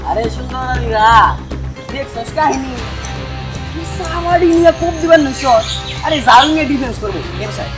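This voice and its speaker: A man's voice: very high voice, a little fast, music in the background. A man with a Bangladeshi accent playing a menacing, swaggering young gang boss who scolds in colloquial speech in a short-film drama. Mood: bullying, scornful.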